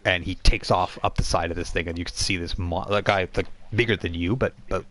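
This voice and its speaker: A man with a low voice narrates as game master. He builds scenes haltingly and descriptively, improvising as he goes. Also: steady tone, fairly bright tone. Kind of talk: improvised tabletop role-playing narration.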